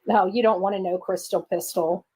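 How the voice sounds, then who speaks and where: A woman's voice: medium pitch, fairly even intonation, normal speed, mellow, breathy edge, rough recording. A woman chatting casually on a livestream in a brash, mocking way.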